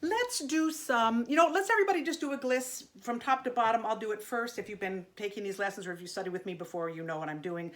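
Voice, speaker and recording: A woman teaching an online singing lesson in a gentle, chatty way, her voice a little congested from allergies. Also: on the high side, fast talker, few pauses. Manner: gentle, chatty, demonstrating vocal sounds.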